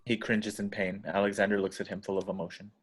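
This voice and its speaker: A man's voice: lowish voice, flat delivery, quick pace, breathy edge. A man reading screenplay action descriptions as scripted narration at a table read. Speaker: stage directions reader.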